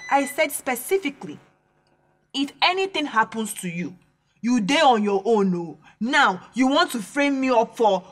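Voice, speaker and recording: Indignant young female student, Nigerian accent, lively swooping pitch, confrontational, radio drama. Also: moderate pace. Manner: sharp-tongued, confrontational. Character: feisty, indignant young female student standing her ground.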